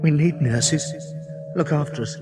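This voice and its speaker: A man's voice: on the high side, measured pace, close to an everyday voice. An actor playing a dazed, distressed, groggy man muttering in a radio drama. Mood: dazed, delirious. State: groggy, coughing. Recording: clear music behind.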